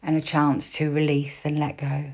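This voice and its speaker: A woman guiding a meditation in a slow, soft, unhurried manner with long pauses between phrases. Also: sits low, completely flat delivery, dull audio. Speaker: meditation guide. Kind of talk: guided body scan meditation, gentle instruction.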